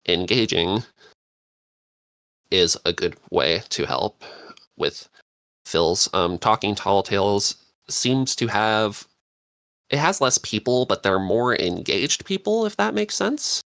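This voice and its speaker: A man giving casual advice as a podcast panelist, halting and thinking aloud as he goes. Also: on the low side, animated, gravelly, a bit bright, no echo. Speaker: podcast panelist, script writer.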